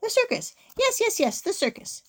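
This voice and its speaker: Storybook witch , played by a woman: shrill, cackling, greedy, short-tempered, bossy delivery with big pitch swings. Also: expressive, a little slow. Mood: excited scheming. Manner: exclamatory, bossy, insult-slinging.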